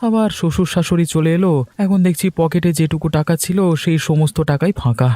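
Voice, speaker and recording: A man's voice: on the high side, brisk, dark tone, no room sound, lightly acted. A man voicing a lazy young husband in a cartoon who grumbles and complains. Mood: grumbling. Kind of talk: cartoon voice acting.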